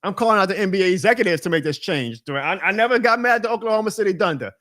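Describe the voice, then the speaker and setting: A man's voice: sits high, animated, very fast, a bit bright. A man in his thirties hosting a live sports talk stream, ranting with energy and laughing often. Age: 30s. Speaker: show host.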